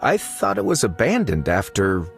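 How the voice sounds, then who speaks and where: A man's voice: fairly low pitch, wildly swooping pitch, moderate pace, gravelly, heavily acted. A man playing a dapper, courteous male novelist in video game dialogue, with a mannered politeness. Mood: suave, courteous. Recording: music with violin behind the voice.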